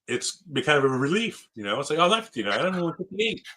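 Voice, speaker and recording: Fast-talking man in his fifties. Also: a little high, big pitch swings, a loud crowd. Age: 50s. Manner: rambling, laughs often, many fillers. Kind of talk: casual chat.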